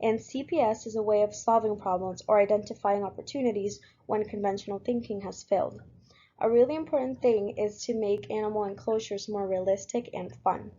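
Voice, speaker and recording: Young woman student from Puerto Rico, warm husky voice, earnest presenter, lo-fi recording. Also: mid-range voice, brisk. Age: young adult. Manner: steady, earnest delivery with occasional fillers. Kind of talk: reading a class presentation.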